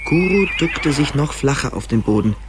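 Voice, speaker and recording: A man's voice: medium pitch, lively intonation, moderate pace, very noisy. A man narrating a story as scripted narration for a German radio play.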